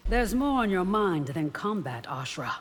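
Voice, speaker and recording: An actress playing a proud, loyal and devout royal bodyguard in a video game, composed, formal and stern. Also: female voice, on the low side, very animated, a little slow, slightly rough, heavily acted. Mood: composed, firm. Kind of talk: video game character acting. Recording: long reverb with faint noise.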